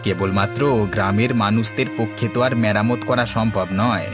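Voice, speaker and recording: A man's voice: on the low side, steady tone, a little fast, over music, natural voice. A man voicing a concerned, earnest village man who explains things in scripted cartoon dialogue. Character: concerned village man urging neighbours to work together.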